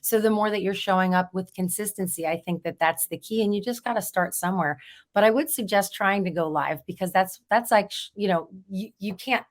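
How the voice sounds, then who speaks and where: A hoarse woman, a real estate agent, chatting in an interview and telling stories with easy laughter. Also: medium pitch, fairly quick. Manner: chatty, storytelling, laughs easily. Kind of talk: casual interview chat.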